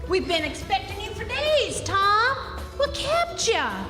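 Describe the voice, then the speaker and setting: A woman playing an excitable, bossy old rural aunt in a radio play, folksy and full of exclamations. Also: very high voice, takes it slowly, full character voice. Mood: welcoming, prying. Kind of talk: stage radio drama acting. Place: live stage in a hall. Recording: echoey hall recording with music.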